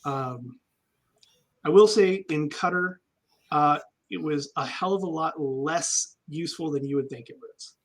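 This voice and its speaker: A man's voice: a little high, a little slow. A man, an army veteran guest, telling stories candidly and reflectively in a casual interview, with frequent ums.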